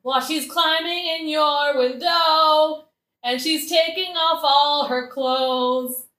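A woman's voice: high-pitched, steady tone, slow pace, pushed and bright, exaggerated voice. A woman playing a grief-mad, theatrical young woman who rambles and bursts into song in a satirical audio play. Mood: wild mournful singing. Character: grief-mad young woman, manic, singing, mock showbiz cheer. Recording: echoey room.

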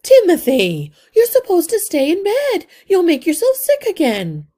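A woman voicing a caring mother mouse in a children's storybook, soothing, concerned and gently firm. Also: very high voice, wildly swooping pitch, unhurried, pitched above the performer's own voice, exaggerated voice. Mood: gently scolding. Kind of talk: children's storybook read-aloud.

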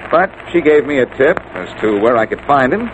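An actor playing a wry, confident amateur sleuth in the style of 1940s radio drama. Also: male voice, medium pitch, very animated, fairly quick, lightly acted. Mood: teasing. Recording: noisy old radio drama recording.

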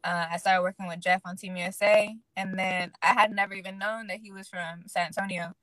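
A woman's voice: medium pitch, fairly quick, dry recording. A young woman from Illinois, a pro boxer chatting casually as a podcast guest, often saying "like". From Illinois, USA. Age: young adult. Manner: relaxed, fills speech with "like". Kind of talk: casual interview chat.

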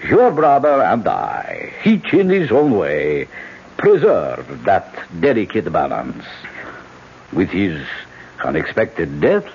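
An actor playing a suave, scheming older generalissimo with an urbane, oratorical manner in a radio drama. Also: male voice, low-pitched, wildly swooping pitch, takes it slowly, gravelly, a little hiss or hum, exaggerated voice. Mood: smooth, pompous. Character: suave, scheming elder generalissimo, falsely courteous. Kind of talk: old-time radio drama acting. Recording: dull old radio broadcast.

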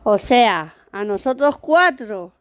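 A woman from Spain playing a money-minded, sharp-tongued sister in a radio soap, accusing and complaining. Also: fairly high pitch, lively intonation, slow pace, dry recording, studio quality. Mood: dismayed. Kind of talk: amateur radio soap acting.

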